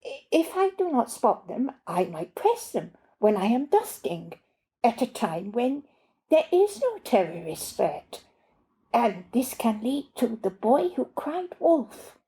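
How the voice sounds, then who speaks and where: A woman voicing a young housemaid in a story reading, humble and earnest yet quietly stubborn. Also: fairly high pitch, sing-song, takes it slowly, heavily acted, low-quality recording. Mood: questioning.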